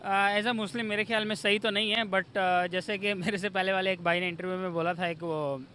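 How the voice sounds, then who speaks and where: A man's voice: high voice, steady tone, normal speed, bright, forceful tone. A man answering casual interview questions hesitantly, mixing in English words. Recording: street noise with vehicles. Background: outdoor traffic.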